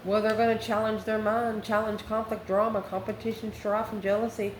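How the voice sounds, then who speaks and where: Female tarot channel host, mid-pitched voice, off-the-cuff and musing, recorded in a roomy space with faint noise. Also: level tone, fairly quick. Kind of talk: casual, improvised tarot reading.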